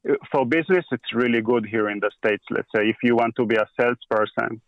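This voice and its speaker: Israeli-accented male guest, halting unhurried delivery, dry slightly dull recording. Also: mid-range voice, fairly even intonation, no room sound. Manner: halting, with frequent pauses and restarts. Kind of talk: casual conversation.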